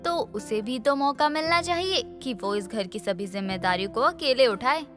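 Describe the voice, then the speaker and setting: A woman plays a cunning elder sister-in-law in a family morality tale. Her voice sits high, sly and sugary, jealous underneath the politeness. Soft music runs behind her. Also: lively intonation, normal speed. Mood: falsely sweet. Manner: sly, sugary before others, plotting when alone. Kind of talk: dramatised Hindi moral story acting.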